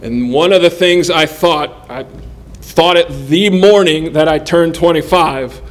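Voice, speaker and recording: A man in his forties delivers a sermon at an unhurried pace. His voice sits slightly high for a man, and he speaks earnestly, pausing between phrases to let each point land. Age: 40s. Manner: earnest, measured delivery with pauses. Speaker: preacher.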